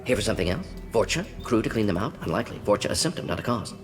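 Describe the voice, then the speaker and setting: An actor playing a male alien scientist who talks in quick clipped fragments and drops his articles. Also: lowish voice, big pitch swings, music in the background. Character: fast-talking male alien scientist, twitchy. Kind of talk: video game voice acting.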